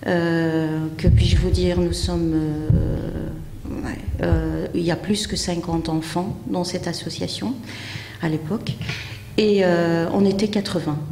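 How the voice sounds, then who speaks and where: A middle-aged woman from Belgium being interviewed, telling her story in a hesitant, measured way with frequent pauses. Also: on the low side, fairly even intonation, creaky. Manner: hesitant, measured, pausing often. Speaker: interview guest, community association member. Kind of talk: unscripted personal account. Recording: echoey hall, faint background noise.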